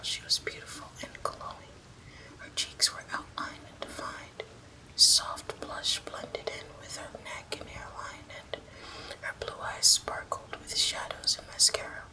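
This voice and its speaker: A woman narrating a horror story as ASMR in a breathy, unvoiced whisper, with hushed, deliberate delivery. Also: low-quality recording.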